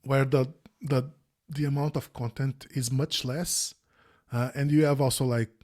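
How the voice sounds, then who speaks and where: A man's voice: mid-range voice, fairly even intonation, measured pace, a little mellow. A man, a guest on a video call interview, speaking thoughtfully with many restarts and fillers. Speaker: interview guest, former search quality analyst.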